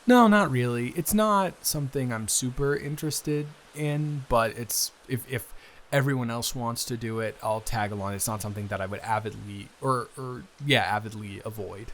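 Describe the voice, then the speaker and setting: A man playing a friendly, bookish young guy, his best friend's brother, chatting casually and haltingly in an improvised roleplay audio. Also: medium pitch, slight background noise, lightly acted. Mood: casual, hesitant. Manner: halting, rambling, self-interrupting with repeated restarts.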